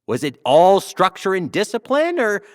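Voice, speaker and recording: A man playing a brash, self-adoring talk show host in an audio drama, showy, flattering and teasing. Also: high voice, moderate pace. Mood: teasing, prying.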